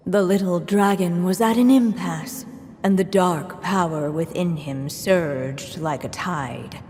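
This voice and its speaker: A woman playing a solemn storybook narrator in a video game, telling a dark fairy tale in a measured, grave way. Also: on the low side, long reverb tail, a little hiss or hum. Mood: solemn, ominous.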